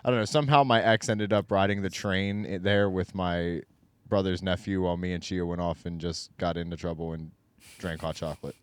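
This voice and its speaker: A man's voice: sits low, little pitch movement, fairly quick, smooth, dry recording. A young man co-hosting a casual podcast, rambling and hesitant as he recalls a memory. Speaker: podcast co-host.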